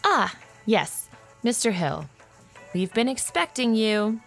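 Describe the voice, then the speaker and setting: An actress playing a courteous, faintly eerie pharmacy clerk in an audio drama, with a creaky voice and a polite, knowing manner. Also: female voice, on the high side, sing-song, takes it slowly, soft background music, full character voice.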